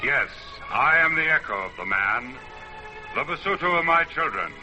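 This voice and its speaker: A man's voice: on the high side, lively intonation, normal speed, lightly acted. Man voicing a portentous, brooding mountain spirit. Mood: solemn, brooding. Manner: portentous, poetic declamation. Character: ancient brooding mountain spirit, solemn and watchful. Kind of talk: old-time radio drama narration. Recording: vintage radio drama with music behind.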